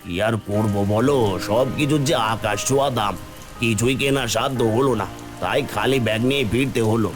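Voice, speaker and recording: A man playing a poor, gentle village father in a cartoon, soothing and resigned. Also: medium pitch, brisk, over music, close to an everyday voice. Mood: resigned, weary. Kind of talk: Bengali cartoon voice acting.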